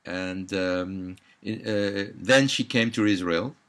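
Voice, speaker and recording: A man's voice: deep voice, takes it slowly, fairly bright tone. A man interviewing a guest, giving brief and polite prompts. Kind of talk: interview questions.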